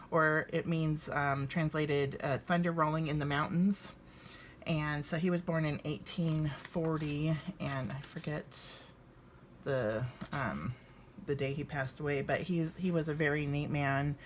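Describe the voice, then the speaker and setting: A woman's voice: low voice, takes it slowly, a little hiss or hum. An American woman in her fifties doing an informal children's read-aloud, with hesitant, rambling asides. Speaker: story reader for children.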